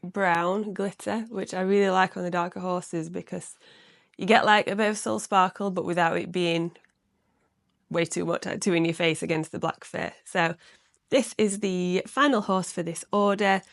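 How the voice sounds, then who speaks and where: A young woman talks through her handmade work in a loose, rambling home vlog, her voice a little croaky and gravelly with fry. Her mid-range voice sits close to the microphone in a dry, deadened space with no room echo. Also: lowish voice, steady tone, normal speed. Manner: chatty and rambling, lots of "like". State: slightly croaky from recent illness. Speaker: crochet vlogger. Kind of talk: casual chatty vlog.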